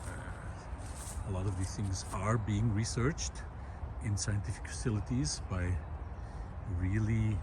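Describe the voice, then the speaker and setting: A man, musing aloud in a walk-and-talk video. He talks reflectively and often pauses with "uh". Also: lowish voice, background noise. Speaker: video essayist. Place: outdoor park lawn. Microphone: handheld camera while walking.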